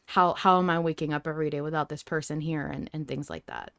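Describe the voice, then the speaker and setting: A woman with a slightly low, very smooth voice talks casually as a podcast co-host. The sound is dry and close, with clean, resonant tone. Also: fairly even intonation, fast, fairly bright tone, dry recording.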